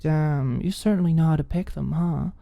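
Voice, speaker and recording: A woman's voice: sits low, normal speed, creaky, no echo, lo-fi sound. Woman voicing a cocky, teasing young woman, dry sound. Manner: flippant, mocking. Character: cocky, teasing young woman, mean streak, secretly considerate. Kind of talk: audiobook character dialogue.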